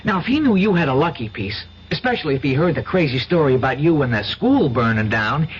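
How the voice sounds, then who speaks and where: A woman's voice: sits low, sing-song, fast, slightly rough, exaggerated voice. A woman acting a practical young country sibling with an American accent, folksy and stammering, in a radio drama. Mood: earnest warning. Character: cautious, practical rural young sibling, hesitant explainer. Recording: muffled, hissy old radio recording.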